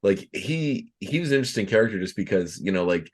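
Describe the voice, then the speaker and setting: A man's voice: on the low side, expressive, quick pace, slightly rough. A Canadian man hosting a podcast, agreeable and casual, with many fillers. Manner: agreeable, frequent "yeah" and "like". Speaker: podcast host.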